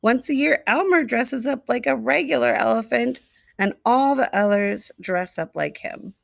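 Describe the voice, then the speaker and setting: A woman storyteller reading a picture book expressively to young children, deliberately, with asides and questions to the listeners. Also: on the high side, creaky, dry recording. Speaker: read-aloud storyteller.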